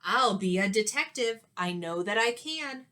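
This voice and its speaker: A woman's voice: medium pitch, animated, measured pace, bright, forceful tone. A woman voicing a plucky, determined little gingerbread boy in a children's storybook, with sing-song, exclaiming delivery. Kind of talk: children's storybook read-aloud.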